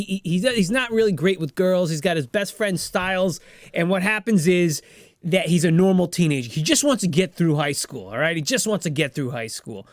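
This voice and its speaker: A man co-hosting a show, improvising a comedic film recap in a rambling, stammering, excitable and casual way. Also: high-pitched, no echo, clean studio sound. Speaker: podcast co-host retelling a film.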